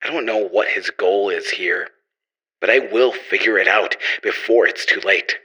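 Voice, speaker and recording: A man plays a weary, guilt-laden scientist hero in an audio horror drama. His voice is on the low side and halting, earnest as he clings to hope on a stranded space station. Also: pushed and bright, breathy edge, lo-fi sound, full character voice. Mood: desperate, resolute. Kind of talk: audio horror drama, personal log monologue.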